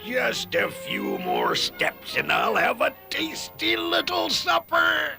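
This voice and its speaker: Greedy cartoon schemer, exaggerated, gravelly, very wide swings, drawn-out, music behind. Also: male voice, very high voice, wildly swooping pitch, exaggerated voice. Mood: hungry, gloating. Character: greedy, gloating wolf and foolish greedy farmer. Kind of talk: theatrical cartoon fable acting.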